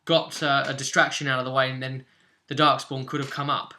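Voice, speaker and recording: A man's voice: medium pitch, level tone, a little fast, pushed and bright. A British man casually recapping a tabletop role-play session as game master, telling it like a story in loose, broken pieces.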